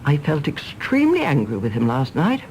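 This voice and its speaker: A brisk old English lady who runs her household, outspoken and fond but exasperated. Her genteel speech is emphatic and swoops widely in pitch. It is a radio drama with noise behind the voice. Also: wildly swooping pitch, darkish tone, poor audio. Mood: indignant, brisk. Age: older. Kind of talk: British radio drama acting.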